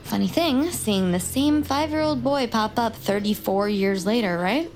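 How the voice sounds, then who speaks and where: A woman's voice: fairly high pitch, measured pace, darkish tone, natural voice. A woman playing a driven, inquisitive young crime survivor in an audio drama, laying out facts with intent emphasis. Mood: intent, urgent. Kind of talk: naturalistic audio drama acting.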